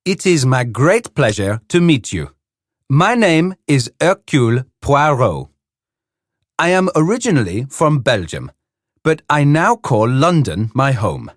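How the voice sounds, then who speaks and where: A man's voice: medium pitch, big pitch swings, measured pace, no room sound, studio quality. A man reading the part of a fussy, precise older detective in a polite, formal way. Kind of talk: scripted reading for learners.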